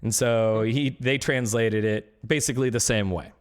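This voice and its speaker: A man's voice: medium pitch, fairly even intonation, normal speed, clean tone. A man talking casually on a live stream about esports, ranting with growing indignation.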